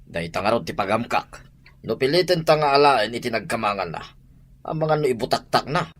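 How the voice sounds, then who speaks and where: A man's voice: mid-range voice, animated, fairly quick, a bit bright. A man playing a fearful yet menacing accomplice in an Ilocano audio drama. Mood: worried, threatening.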